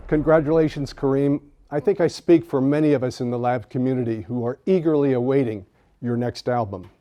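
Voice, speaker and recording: A man's voice: mid-range voice, fairly quick, lo-fi sound. A man hosting an awards ceremony, reading formal, polished introductions. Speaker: ceremony host. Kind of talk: scripted ceremony presenting.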